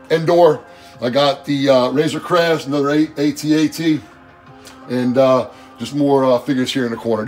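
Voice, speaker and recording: A man in his forties giving a relaxed home tour vlog of his collection room, filmed on a handheld phone, talking in a loose, rambling way with lots of fillers and restarts. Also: on the high side. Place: home game and toy room, indoors. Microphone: handheld phone camera.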